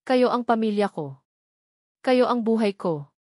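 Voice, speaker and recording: A woman's voice: a little high, lively intonation, unhurried, darkish tone, dry recording, clean studio sound. A woman with a Filipino accent voicing a remorseful, devoted wealthy man in a romance story, speaking earnestly and pleadingly.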